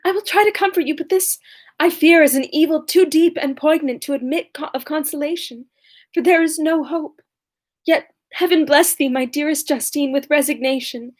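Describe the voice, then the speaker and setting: A woman reading aloud as an anguished, indignant young gentlewoman, in an impassioned, declamatory way. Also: sits high, natural voice. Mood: grieving.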